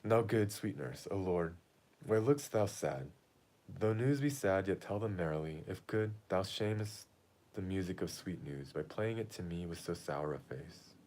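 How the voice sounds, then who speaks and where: A man's voice: low-pitched, lively intonation, unhurried, darkish tone. A man reading the part of an impatient, lovesick teenage girl from a Shakespeare play, in a declamatory, pleading way. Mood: anxious pleading. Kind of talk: amateur read-aloud of Shakespeare play.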